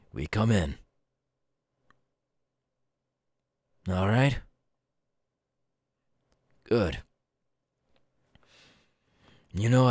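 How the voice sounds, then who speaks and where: Casting: male actor as a young villain recruiter for ASMR roleplay, low voice, dry close sound, deliberate and coolly menacing. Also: no room sound, full character voice. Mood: approving, menacing. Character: young adult male supervillain recruiter, cool, menacing, sly.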